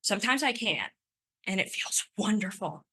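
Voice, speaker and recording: A woman's voice: mid-range voice, expressive, moderate pace, sharp tone, slightly rough. A woman in her twenties giving a prepared personal talk, speaking with a stutter and occasional sound repetitions in an earnest, emphatic way. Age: 20s. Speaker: conference speaker.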